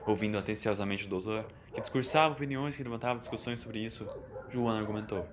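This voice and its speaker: A man's voice: lowish voice, fast, background noise. A man with a Brazilian accent reading a scripted story as its narrator, in Portuguese storytelling style. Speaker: narrator of an audio fan story. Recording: voice over background music. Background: music.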